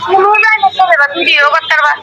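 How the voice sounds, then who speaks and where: Woman, very high-pitched, protesting, repetitive, casual phone squabble, clear rumbling noise behind her. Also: very fast. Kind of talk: casual, quarrelsome phone conversation.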